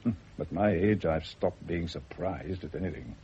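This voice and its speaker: An aging British doctor in an old radio drama. The voice is very low, measured and wryly philosophical. The sound is dull, with faint noise behind it. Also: male voice, mellow. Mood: dry, frank. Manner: dry, measured, wry. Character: aging, worldly-wise English doctor, dry and philosophical. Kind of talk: mid-century radio drama acting.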